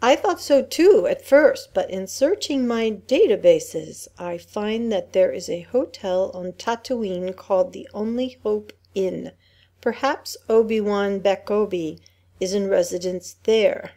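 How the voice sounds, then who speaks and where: A woman's voice: medium pitch, measured pace, warm tone, lightly acted. A woman playing a polite, helpful protocol droid in a family radio play, speaking in a formal, courteous way. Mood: politely helpful.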